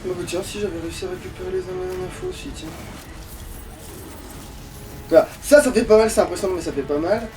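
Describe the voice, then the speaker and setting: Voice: medium pitch, big pitch swings, fast talker, vocal fry, long pauses, background noise. A gamer giving casual, sweary, grumbling commentary while playing. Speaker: let's play streamer. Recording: distant mic with game music behind. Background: video game music.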